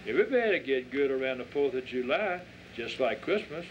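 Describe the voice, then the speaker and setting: A man's voice: medium pitch, animated, a little fast, close to an everyday voice. A man voicing an earnest little girl from the rural South who corrects others and appeals to her elders, in a story reading. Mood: questioning. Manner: pleading, corrective, folksy. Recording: dull lo-fi audio with faint noise.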